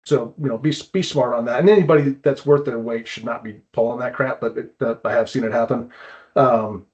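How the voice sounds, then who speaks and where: A man's voice: mid-range voice, brisk, darkish tone. Casual male podcast host, slightly dull audio. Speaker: host presenting tips. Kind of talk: casual explanatory podcast talk. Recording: dull, band-limited audio.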